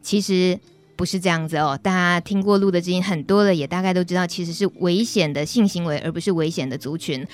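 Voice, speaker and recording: A woman's voice: fairly low pitch, quick pace, soft background music. A woman with a Taiwanese Mandarin accent, hosting a live talk show and explaining things chattily, straight to her listeners. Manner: chatty, addresses listeners directly. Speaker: radio host.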